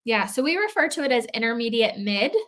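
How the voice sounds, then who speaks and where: A woman's voice: on the high side, animated, fairly quick, a bit bright. A woman on a live stream answering viewers' questions in a patient, explanatory way, with frequent filler words. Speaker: program staff answering questions.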